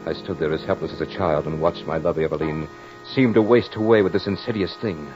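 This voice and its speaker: A man's voice: rumbling low, quick pace. An actor playing a brooding French nobleman and husband in an old-time radio horror drama, speaking in a formal, grave, theatrical manner. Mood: helpless dread. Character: brooding French nobleman husband, narrating his tale of dread. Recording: muffled old radio recording with music.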